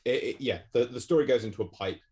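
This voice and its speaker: A man's voice: medium pitch, fast talker, a little mellow. A man from England, a podcast guest, chatting casually with restarts, laughs and self-deprecating asides.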